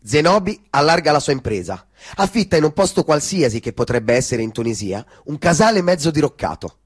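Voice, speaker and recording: A man's voice: a little high, sing-song, fairly quick, breathy edge. A man delivering scripted theatrical narration.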